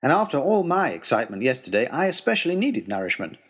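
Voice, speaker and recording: A man narrating a scripted first-person story in an expressive, wry, rather formal storytelling manner. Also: mid-range voice, quick pace, breathy edge.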